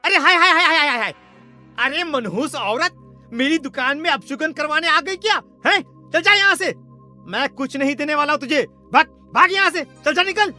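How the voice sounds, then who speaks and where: Casting: scornful village shopkeeper man played by a woman, high and piercingly bright, scolding cartoon delivery over music. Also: animated, normal speed. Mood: scornful, angry. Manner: scolding, exclamatory, repeating dismissals. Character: rude, superstitious male village shopkeeper, scornful. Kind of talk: Hindi cartoon voice acting.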